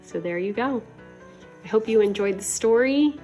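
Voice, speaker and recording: A woman reading a children's story aloud like a schoolteacher, with expressive, dramatic storytelling. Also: fairly high pitch, normal speed, music in the background.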